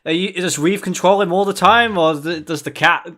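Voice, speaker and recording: A man's voice: sits high, moderate pace, fairly bright tone. A man chatting informally as a panelist in a podcast fan discussion.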